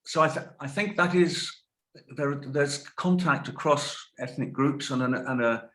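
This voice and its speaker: A man's voice: mid-range voice, measured pace, sharp tone. A man in his sixties, a guest lecturer answering audience questions over a video call, thoughtful and hedging as he corrects himself.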